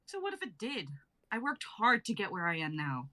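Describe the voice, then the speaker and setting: A woman voicing a self-absorbed young female singer who swears a lot and swings from shouting to whispering, in an amateur dramatic reading. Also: medium pitch, huge pitch swings, normal speed. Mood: angry. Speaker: actor in a live script reading.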